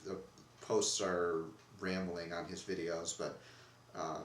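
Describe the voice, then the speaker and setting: A man's voice: deep voice, little pitch movement, takes it slowly. A man co-hosting a casual discussion, rambling and breaking off mid-sentence to restart. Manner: rambling, self-interrupting. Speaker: co-host. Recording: echoey room, distant mic, faint noise.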